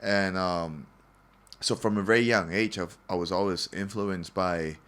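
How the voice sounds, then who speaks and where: A man's voice: low voice, steady tone, measured pace, a bit bright, smooth. A man from Singapore hosting a casual podcast chat, joking and cursing freely with plenty of fillers. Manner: loose, joking, profane, lots of fillers. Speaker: podcast host.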